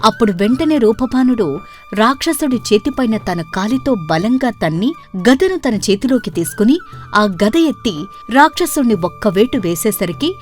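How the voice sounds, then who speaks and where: A woman narrating a scripted children's story as the storyteller. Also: mid-range voice.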